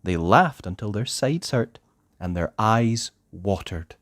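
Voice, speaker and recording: A storyteller reading a children's book aloud in a theatrical, deliberate way with drawn-out emphasis. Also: deep voice, animated, no room sound, studio quality. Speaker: storybook narrator. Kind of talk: expressive children's read-aloud narration.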